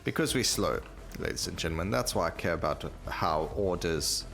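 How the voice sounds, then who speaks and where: A man's voice: deep voice, expressive, moderate pace, gravelly, fairly bright tone. A man giving casual gameplay commentary, rambling and thinking aloud as he plays. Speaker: gaming video host. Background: game music.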